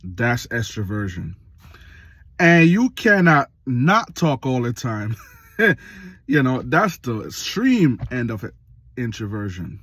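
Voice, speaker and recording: A man in his late thirties, from Jamaica, giving a reflective casual monologue for his video channel, slow and full of pauses and fillers. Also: mid-range voice, big pitch swings, a bit bright, breathy edge, no room sound. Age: 30s. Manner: slow, pausing often with fillers. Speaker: vlogger.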